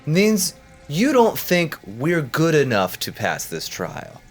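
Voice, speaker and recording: A man's voice: on the high side, huge pitch swings, slow pace, soft background music. A man talking casually as a player in a tabletop game, improvising with hesitant, wry bargaining.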